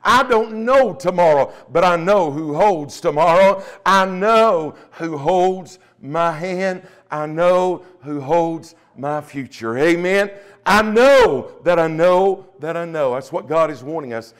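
A man's voice: fairly high pitch, slow pace. A middle-aged man, preaching a Pentecostal sermon emphatically and punctuating it with amens. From United States. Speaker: pastor. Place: small church, at the pulpit indoors. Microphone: lapel mic.

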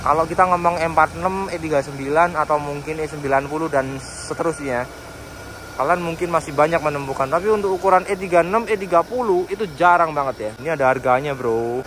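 A man's voice: a little high, quick pace. A man giving an informal, slangy, enthusiastic walkaround sales talk on a car. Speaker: car seller presenting a used car. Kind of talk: casual walkaround review to camera. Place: outdoors by a car and inside it. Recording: clear outdoor and car background noise.

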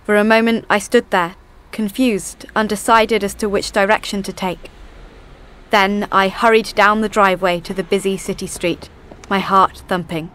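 A woman's voice: mid-range voice, fairly even intonation, moderate pace, fairly bright tone, lightly acted. A woman voicing a distressed, confused first-person storyteller in dramatic audiobook narration. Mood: anxious.

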